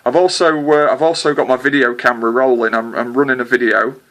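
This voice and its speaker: Guy on his cb, kinda monotone, pausing and going uh a lot. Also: mid-range voice. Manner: hesitant, full of "uh" fillers. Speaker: CB radio operator. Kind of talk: casual radio contact chat.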